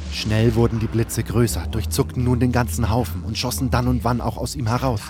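A man reading a fantasy audiobook in the first person, in a measured, dramatic storytelling manner. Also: mid-range voice. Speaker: audiobook narrator.

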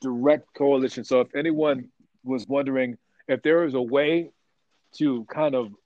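A man being interviewed about his work as a voice actor, telling stories in a rambling, animated way with lots of restarts. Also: mid-range voice, normal speed, dry recording, dull audio. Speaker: voice actor guest. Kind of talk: casual interview chat.

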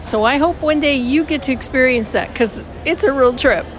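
A woman hosting a podcast, telling a story in a casual, chatty way, her voice hoarse and her throat tight. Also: high voice, normal speed, a little hiss or hum. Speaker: podcast host. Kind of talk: casual theme-park audio diary. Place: outdoors on a theme park street. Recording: outdoor park recording with music and crowd. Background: band music and crowd.

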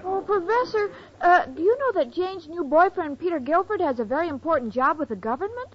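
A woman's voice: very high-pitched, lively intonation, fairly quick. An actress playing a sweet, naive, scatterbrained young woman in an old radio sitcom, innocent and literal-minded. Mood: earnest. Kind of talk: 1940s-50s radio sitcom acting. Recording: dull, noisy old radio recording.

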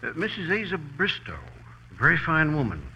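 An actor playing a courteous, folksy older small-town photographer in a 1940s radio drama. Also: male voice, fairly high pitch, big pitch swings, brisk, sharp tone, husky, full character voice. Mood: helpful, reminiscing. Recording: dull, faintly noisy vintage radio.

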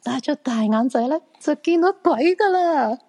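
A woman's voice: sits high, animated, moderate pace, dark tone. A woman acting a cheeky, flirty fortune-teller in a Cantonese radio drama, teasing in colloquial Hong Kong speech. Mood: teasing, playful. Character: cheeky, flirty female fortune-teller friend, eager to see ghosts.

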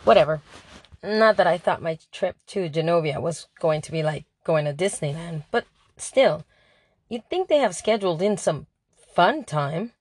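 A woman's voice: on the low side, animated, normal speed. A woman reading a storybook diary aloud as a sarcastic, put-upon teenage princess. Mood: sarcastic, nervous. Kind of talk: amateur audiobook reading of a first-person diary.

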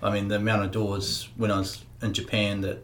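A man's voice: on the low side, flat delivery, fairly quick, slight background noise. A man hosting an interview, chatting in a laid-back, casual way with everyday slang. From New Zealand. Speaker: podcast host.

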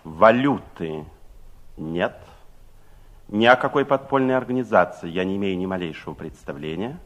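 An actor playing an anxious, defiant middle-aged father and secret conspirator in a 1960s Soviet radio drama, speaking in a theatrical, deliberate manner. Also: low voice, animated, a bit bright. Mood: cold, defiant. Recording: 1960s radio play tape.

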